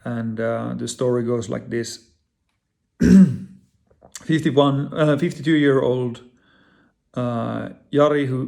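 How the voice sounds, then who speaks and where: A man from Finland speaking English with a Finnish accent, talking straight to his viewers in an earnest, halting way full of fillers and repeated words. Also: mid-range voice, creaky, a little mellow. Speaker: vlogger. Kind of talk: personal direct-to-camera talk.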